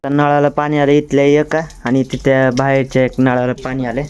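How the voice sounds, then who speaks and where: A man's voice: monotone, normal speed. A young man with a rural Marathwada accent, chatting casually as he vlogs his day. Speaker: vlogger. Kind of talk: casual vlog chat. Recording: noisy outdoor vlog, traffic rumble.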